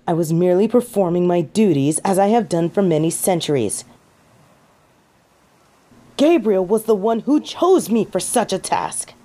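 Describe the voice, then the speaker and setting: A woman's voice: fairly low pitch, lively intonation, moderate pace, mellow. A woman playing a snobby, indignant fallen angel in an audio roleplay, with pompous, formal, archaic phrasing and an easily affronted manner. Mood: haughty, defensive. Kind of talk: scripted audio roleplay acting.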